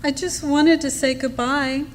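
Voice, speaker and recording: A woman's voice: high voice, fairly even intonation, measured pace. A woman playing a sweet, gushing younger sweetheart in an old-time radio drama, coy and cooing. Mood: pleading, cooing. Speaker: actress in a live radio play. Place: club hall stage. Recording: noisy reverberant hall.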